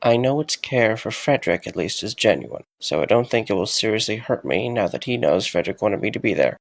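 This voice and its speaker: A man's voice: fairly low pitch, lively intonation, a little fast, no room sound. A man acting in an audio drama as a weary, dryly joking young man who takes his responsibilities stubbornly. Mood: determined, dry. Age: young adult.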